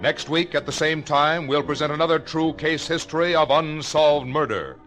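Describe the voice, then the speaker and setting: An American man announcing on a 1950s radio broadcast, reading a script in a formal, measured way with deliberate enunciation. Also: fairly high pitch, fairly bright tone, faint music behind.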